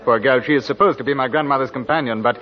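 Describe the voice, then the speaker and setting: An actor playing a teasing young Russian gentleman, arch and wry, in a 1940s radio drama. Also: medium pitch, fast, natural voice. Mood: wry, amused. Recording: muffled vintage 1940s radio recording.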